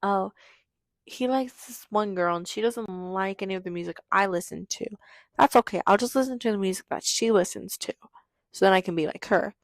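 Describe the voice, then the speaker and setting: Hoarse, gravelly teenage girl podcaster. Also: medium pitch, no echo. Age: teenager in high school. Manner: rambling, chatty, heavy on "like". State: hoarse, losing her voice. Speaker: podcast host. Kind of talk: casual confessional monologue.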